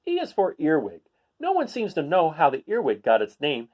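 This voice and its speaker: A man's voice: on the high side, wildly swooping pitch, moderate pace, a little mellow. A man hosting a read-aloud, reading a children's picture book and adding casual, explanatory asides for young listeners.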